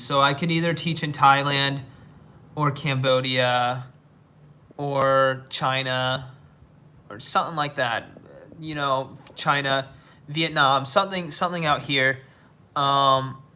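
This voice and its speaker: A young man from the United States hosts a casual livestream, reading out his chat and answering each viewer. His voice sits a little high and sounds bright, and he stops and restarts his sentences often. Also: clear, resonant tone. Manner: reads viewer comments aloud and replies, with halting restarts. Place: living somewhere in Asia.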